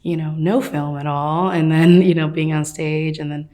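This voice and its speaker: A woman's voice: sits low, steady tone, moderate pace, mellow. A woman speaking as a guest in a casual interview, conversational and agreeable.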